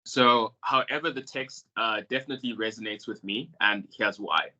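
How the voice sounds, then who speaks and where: Earnest young man, bright voice. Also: on the low side, normal speed, muffled recording. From South Africa. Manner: earnest, reflective, many fillers. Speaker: cast member. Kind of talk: panel discussion.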